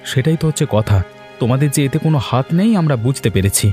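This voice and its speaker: A man voicing a brisk, impatient village husband in a cartoon, speaking brusquely. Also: medium pitch, expressive, warm tone, soft background music, close to an everyday voice. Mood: troubled.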